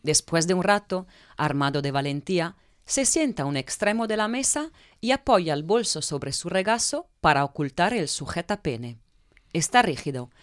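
A woman's voice: lowish voice, sing-song, moderate pace, no room sound, studio quality. A woman from narrating a scripted audio drama in a wry, knowing way.

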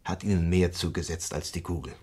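A man acting a calm, considerate police commissioner in a radio crime drama, measured, soothing and matter-of-fact. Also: low voice, husky. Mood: grave, factual.

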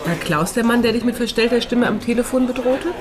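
An actress playing a blunt, down-to-earth, inquisitive friend in a German radio crime drama. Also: female voice, a little high, brisk, crowd noise, natural voice.

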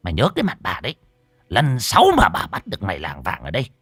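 A man's voice: wildly swooping pitch, quick pace, a bit bright, breathy edge, no room sound, studio quality, soft background music, exaggerated voice. A man voicing a fat, foul-mouthed, furious woman who runs a rice eatery, scolding and cursing in barked questions. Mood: indignant, threatening. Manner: shrill cursing, scolding, barking rhetorical questions.

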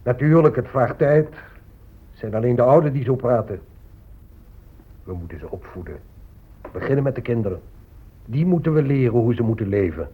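An actor playing a greedy, impatient settler negotiator with a husky voice and an insistent manner in a radio drama. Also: male voice, medium pitch, animated, moderate pace, pauses often. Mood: exasperated. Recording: muffled, narrow-band old radio recording.

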